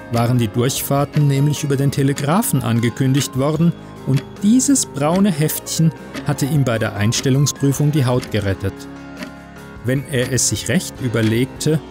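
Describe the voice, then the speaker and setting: Male short-story narrator for a podcast, measured delivery, mid-range voice, noticeable music bed. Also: mid-range voice. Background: music under the voice.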